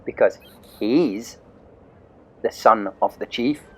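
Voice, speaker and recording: A man's voice: fairly low pitch, slow, fairly bright tone, husky, exaggerated voice. A man voicing a sneering, bossy young bully in a storybook audio play, giving orders to the other boys. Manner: sneering, commanding. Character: sneering bossy boy bully, eager for danger.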